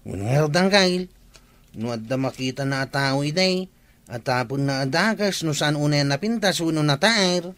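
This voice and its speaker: A teasing village buddy in an Ilocano radio comedy. His voice runs fairly high, with an Ilocano accent. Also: expressive, moderate pace, fairly bright tone, dry recording.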